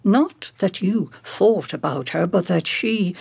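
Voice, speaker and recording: A husky, probing female counselor. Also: lowish voice, lively intonation, a little mellow, no room sound, heavily acted. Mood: insistent, philosophical. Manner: deliberate, questioning, rhetorical, philosophical. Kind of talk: radio drama acting.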